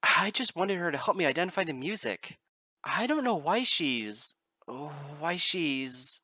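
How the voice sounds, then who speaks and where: A man's voice: fairly high pitch, animated, unhurried, sharp tone, no room sound, studio quality, pitched above the performer's own voice, full character voice. A man voicing a distraught young female musician pony in an audiobook reading, tearful and stammering. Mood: confused.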